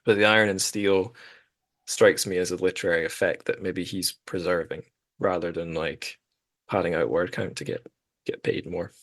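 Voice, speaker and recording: A man's voice: sits low, fairly even intonation, normal speed, fairly bright tone. A man hosting a podcast, talking casually and thoughtfully about literature in tentative phrases. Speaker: podcast host.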